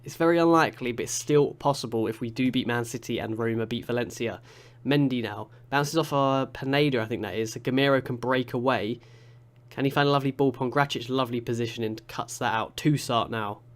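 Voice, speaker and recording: A man's voice: medium pitch, fairly quick. Congested young man commentating a game. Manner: chatty, excitable play-by-play with many restarts. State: congested with a cold. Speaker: gaming YouTuber.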